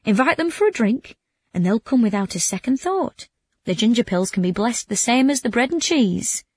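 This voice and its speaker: Woman playing a sly prankster, lively swooping pitch. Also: normal speed, husky, dry recording. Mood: scornful, scheming. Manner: cunning, goading, mock-doubting. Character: sly, mocking male prankster, egging on his victim.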